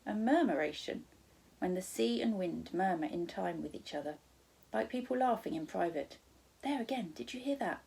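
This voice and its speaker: A woman voicing a kindly, playful gentleman guardian from a storybook, wryly humorous and reassuring. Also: lowish voice, expressive, moderate pace, creaky, slightly rough, full character voice, low-quality recording. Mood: soft, whimsical.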